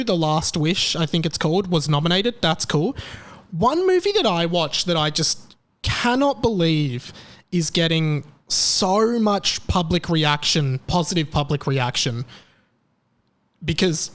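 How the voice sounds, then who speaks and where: A young man hosting his own podcast, chatting casually with sarcasm and frequent laughter. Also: a little high. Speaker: podcast host. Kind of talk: casual solo chat.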